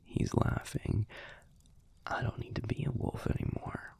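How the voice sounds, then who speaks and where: A man playing a gruff but fond young werewolf boyfriend in an intimate audio roleplay, telling a story in a hushed, halting, husky and gravelly voice. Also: in a whisper, lightly acted. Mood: animated, amused. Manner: hushed, halting, conversational delivery with pauses.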